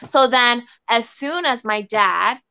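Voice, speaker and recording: Bright, clear young woman rambling. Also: fairly high pitch, expressive, takes it slowly, no echo, muffled recording. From Canada. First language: Thai. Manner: rambling, filler-heavy with many restarts. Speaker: interview guest. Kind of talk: casual interview storytelling.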